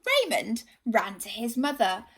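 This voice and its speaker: A woman reading a storybook expressively to young children, animated, with questioning asides. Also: on the high side, unhurried, slightly rough.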